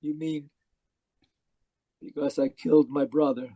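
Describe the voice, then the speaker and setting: Guy doing anguished deluded killer in a radio play, slow melodramatic pleading, dry sound. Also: a little high, steady tone, mellow, slightly rough, dry recording. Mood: fearful, confessing. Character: anguished, deluded male murderer convinced he is dead. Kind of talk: old-time radio drama acting.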